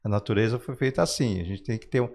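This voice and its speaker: A man's voice: on the low side, fast talker, creaky, darkish tone. A man with a Brazilian Portuguese accent, speaking as a guest author in an interview, explaining ideas conversationally through everyday examples with frequent check-in tags.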